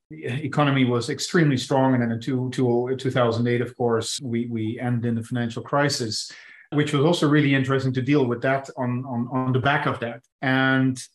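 A man's voice: medium pitch, roomy sound. A man in his forties, a business executive speaking as an interview guest, talking in a hesitant way with many restarts. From Netherlands. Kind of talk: conversational interview.

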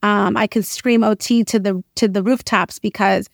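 A middle-aged woman, an interview guest, telling personal stories in an animated, emphatic, casual way and often checking in with "right?". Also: medium pitch, moderate pace, creaky, dry recording, clean studio sound. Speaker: interview guest, occupational therapist and educator.

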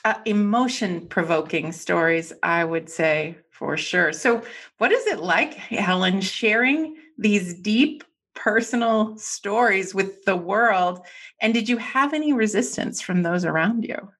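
A woman's voice: mid-range voice, animated, measured pace, fairly bright tone. A woman hosting an interview, asking questions in an encouraging, affirming way. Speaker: interviewer and host. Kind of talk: interview conversation.

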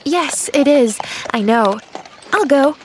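A woman voicing a bright, innocent young girl who gushes with eager joy in an audiobook. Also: sits high, lively intonation, slow pace, background noise, voice pushed up. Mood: cheerful. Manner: gushing, breathless enthusiasm. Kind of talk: audiobook character acting.

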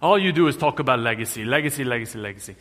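A man's voice: medium pitch, expressive, very fast, fairly bright tone, lightly acted. A man voicing a teasing male Romanian friend in a put-on Romanian accent, mocking and repetitive, with a long hall reverb on the recording.

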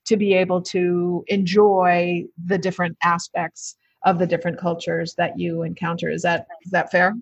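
A woman interviewing a guest in a relaxed, reflective way, checking in with short affirmations. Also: on the low side, normal speed, creaky, hardly any pauses, husky. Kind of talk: casual interview conversation. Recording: muffled lo-fi audio.

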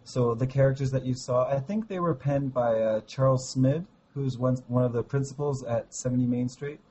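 A man with a slightly hoarse voice from a cold, talking about his startup in a halting, enthusiastic way during an interview call. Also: medium pitch, warm tone. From Canada. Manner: halting, many restarts, enthusiastic. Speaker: startup founder guest. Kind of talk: casual interview over a call.

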